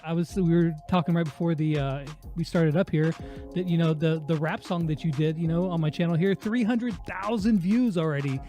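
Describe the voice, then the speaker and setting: A man's voice: fairly high pitch, a little fast, mellow, soft background music. A man hosting a casual livestream interview, easygoing and appreciative as he chats and reads out questions. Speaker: interview host.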